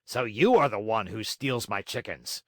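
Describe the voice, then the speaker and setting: Angry farmer for a children's tale, male reader: stern, rough, menacing, close dry sound. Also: on the low side, expressive, a little slow, no room sound.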